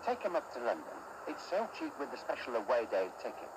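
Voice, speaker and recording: A man's voice: mid-range voice, sing-song, brisk, noisy background. A man presenting a scripted, upbeat advert for train travel, talking straight to the listener.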